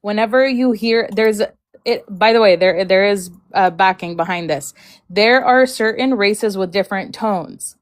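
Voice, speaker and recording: A woman's voice: medium pitch, a little slow. A woman guest on a casual livestream chat, frank and rambling, often trailing off mid-thought.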